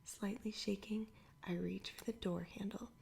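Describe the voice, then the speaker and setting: A woman reading a children's adventure story aloud entirely in a soft whisper, in ASMR style. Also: medium pitch, fairly even intonation, a little slow, gravelly, breathy edge. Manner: whispers throughout. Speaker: storybook reader.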